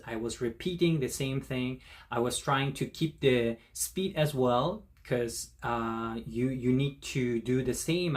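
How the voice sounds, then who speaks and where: A man hosting his own language-learning channel, who is not a native speaker of Korean, talking slowly and casually to the camera, with many pauses and fillers. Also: mid-range voice. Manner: slow, thoughtful, many fillers and restarts. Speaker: channel host.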